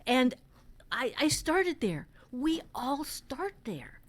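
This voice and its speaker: A woman's voice: sits high, big pitch swings, slow. A woman co-hosting a writing podcast, chatting casually and telling anecdotes in a blunt, emphatic way. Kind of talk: casual chat with personal anecdotes.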